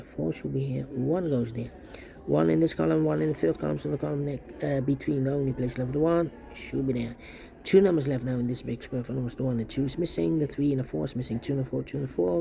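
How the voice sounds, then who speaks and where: Man, mid-pitched voice, soft dark tone, creaky, puzzle instructor, thinking aloud, repetitive muttering. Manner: repetitive, muttering phrases to himself. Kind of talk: step-by-step sudoku tutorial, thinking aloud.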